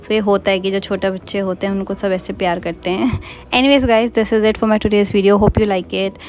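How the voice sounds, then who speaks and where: A woman's voice: medium pitch, steady tone, unhurried, a little mellow. A young woman from Uttarakhand, India, chatting casually as she narrates her family vlog. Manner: chatty, mixes Hindi and English. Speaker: vlogger.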